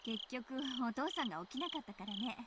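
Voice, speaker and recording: Gentle anime mom played by a woman, high and very fast, game dialogue over loud night insects. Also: animated, close to an everyday voice. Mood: gentle concern. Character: mild motherly woman, teenage boy's mom. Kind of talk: Japanese visual novel voice acting. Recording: game audio under loud insect noise.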